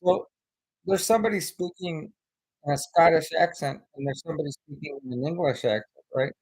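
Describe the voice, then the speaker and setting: A man's voice: a little high, brisk, darkish tone, husky, low-quality recording. A man with an American accent, whose first language is Spanish, chatting casually on a live stream in a rambling way, with many fillers, and reading viewer comments. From United States.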